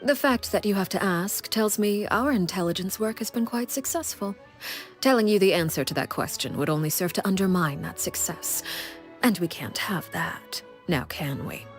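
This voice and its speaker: A woman voicing a composed, guarded female spy leader in a video game, speaking in a measured, formal way. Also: medium pitch, close to an everyday voice. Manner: measured and formal, slow and softly whispering at times. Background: game music.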